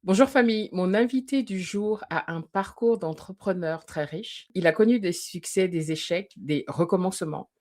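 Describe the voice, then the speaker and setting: Interview host, mid-pitched voice, upbeat welcome, short acknowledgements. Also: normal speed, few pauses, darkish tone. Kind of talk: interview hosting.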